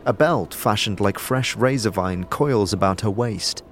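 A man's voice: lowish voice, unhurried. A man reading scripted, dramatic storytelling narration as the narrator of an audio drama. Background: music.

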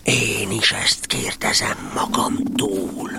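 A man playing a slow, solemn, mysterious bear in a storybook audio drama. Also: fairly low pitch, steady tone, vocal fry, very noisy. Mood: slow pondering. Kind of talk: Hungarian audio drama acting.

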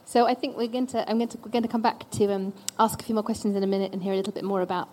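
A woman's voice: medium pitch, fairly even intonation, very fast, a little mellow, a little hiss or hum. Woman moderating a panel, hesitant. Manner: hesitant, with many restarts. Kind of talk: moderating a live discussion.

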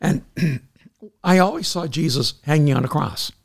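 A man's voice: fairly high pitch, normal speed, creaky. A middle-aged man from El Salvador, a pastor and author speaking as a podcast guest, talking in an earnest, storytelling way with frequent restarts. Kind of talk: reflective casual conversation.

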